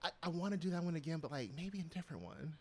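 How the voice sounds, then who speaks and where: Male co-host, native Spanish speaker, quick and animated, casual banter. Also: fairly high pitch, slightly rough. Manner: animated, chatty, many fillers. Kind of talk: casual chat.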